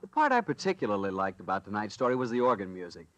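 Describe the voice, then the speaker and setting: A man's voice: lowish voice, very animated, quick pace, a bit bright, no echo. A man with an American accent hosting and announcing in a polished, emphatic 1940s radio sponsor style.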